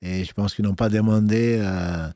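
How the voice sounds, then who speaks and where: A man's voice: fairly low pitch, steady tone, moderate pace, warm tone, no echo, studio quality. A man answering as a religious teacher on a talk show. He explains rulings firmly and weaves in Arabic phrases.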